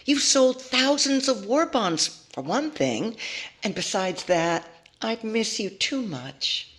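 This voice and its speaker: A woman's voice: fairly high pitch, expressive, takes it slowly, fairly bright tone. A woman playing a sweet, affectionate young leading lady who coaxes and reassures in 1940s radio comedy style. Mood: fond, coaxing.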